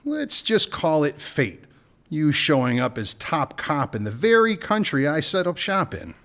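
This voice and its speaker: A man acting a smooth-talking gun runner in a radio play, wry and bargaining, with dry jokes. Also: a little high, very animated, measured pace, fairly bright tone. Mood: scheming.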